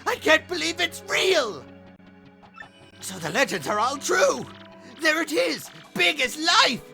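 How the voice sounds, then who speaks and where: A man voicing an excitable, learned old professor in a video game dub, with an earnest, scholarly manner. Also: extremely high pitch, slow, creaky, faint music behind, heavily acted. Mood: awed.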